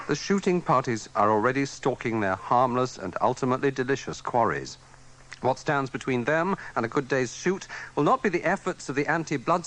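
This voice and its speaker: A man's voice: medium pitch, a bit bright. A man presenting a scripted radio news feature in a wry, arch, wordy way. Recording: faintly noisy archive radio recording.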